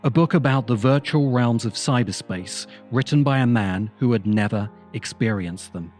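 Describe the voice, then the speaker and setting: A man reading a scripted video essay in an earnest, measured, literary manner. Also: medium pitch, darkish tone. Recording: music bed under the voice. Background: background music.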